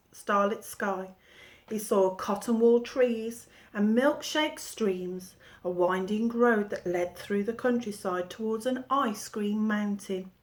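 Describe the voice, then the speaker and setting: Woman teacher narrator, unhurried read-aloud to children, lively storytelling. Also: mid-range voice. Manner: slow, expressive storytelling.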